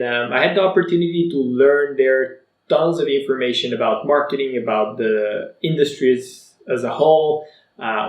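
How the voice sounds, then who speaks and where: A man in his twenties from Bulgaria, whose first language is Bulgarian, telling his personal story to camera in an energetic, motivational, conversational way. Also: medium pitch, roomy sound, rough recording. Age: 20s. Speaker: vlogger.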